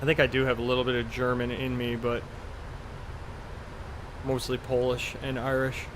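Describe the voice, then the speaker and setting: A man chats casually as he streams a flight simulator, rambling along in an offhand way with the odd mild swear. His voice sits at an average pitch, with a faint steady hum of airy cabin-like noise behind him. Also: normal speed. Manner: rambling, offhand, mildly profane. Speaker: flight sim live streamer. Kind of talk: casual chat while playing a flight simulator.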